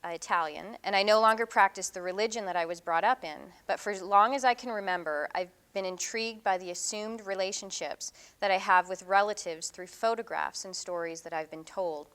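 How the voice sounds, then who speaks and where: A middle-aged woman presents her own artwork in a relaxed lecture. Her voice is smooth and clear, sitting at an ordinary pitch. She talks in a thoughtful, casual way, often pausing with ums and restarting her sentences. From Canada. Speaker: visiting artist giving a lecture. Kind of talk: artist talk presenting her work.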